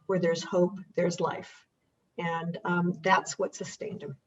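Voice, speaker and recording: Older female guest, reflective conversation, husky tone, dull and distant recording. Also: on the low side, little pitch movement, slow. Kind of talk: reflective conversation about family history. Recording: distant, dull muffled microphone.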